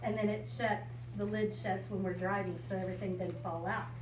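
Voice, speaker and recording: A woman's voice: mid-range voice, steady tone, brisk. An older American woman talking informally and reading to young children, explaining things simply. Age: 60s or older. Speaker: storyteller and RV enthusiast visiting children. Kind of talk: informal talk and picture-book reading to children. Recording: echoey room, distant mic, loud background noise.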